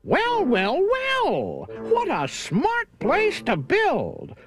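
A cheeky little songbird, voiced by the storyteller chirps in a very high voice. It swoops all over its range. Music plays behind. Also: huge pitch swings, extremely slow, full character voice. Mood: chirpy.

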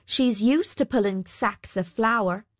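A woman's voice: mid-range voice, slow pace, no room sound, clean studio sound, lightly acted. A woman voicing a boastful male weaver who fancies himself a knight, speaking in grand storybook declarations. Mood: proud, grand. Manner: grand, cheerfully self-important declarations. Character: boastful male weaver turned self-styled wandering knight. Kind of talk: storybook character voice.

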